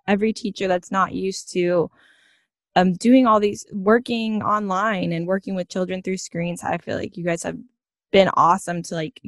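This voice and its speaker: A woman's voice: mid-range voice, dry recording, studio quality. A woman hosting a podcast, chatting casually with a guest in an encouraging, affirming way. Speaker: podcast host. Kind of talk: casual interview chat.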